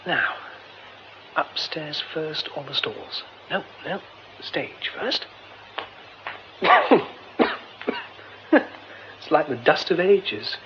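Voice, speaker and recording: In a muffled old radio play heavy with hiss, a young man talking to himself, his high voice leaping up and down. He murmurs as he explores, then shouts frantic pleas for help. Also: animated, drawn-out words, long pauses, exaggerated voice. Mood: musing. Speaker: radio drama lead. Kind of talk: radio drama acting.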